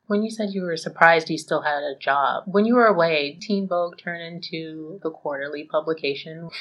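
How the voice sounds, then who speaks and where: A woman's voice: low-pitched, normal speed, dull audio. A woman hosting a podcast, talking in an informal, conversational way. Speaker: podcast host. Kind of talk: casual commentary and chat.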